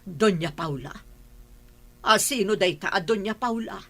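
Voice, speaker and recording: An actress playing a wary, respectful mother defending her child in a radio drama, with a husky voice and Filipino accent. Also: medium pitch, measured pace, a bit bright. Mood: puzzled, guarded.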